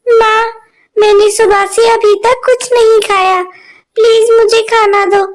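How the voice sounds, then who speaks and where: A woman's voice: extremely high pitch, flat delivery, measured pace, voice pushed up. A woman voicing a sad, hungry little stepdaughter who pleads and sounds tearful. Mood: pleading.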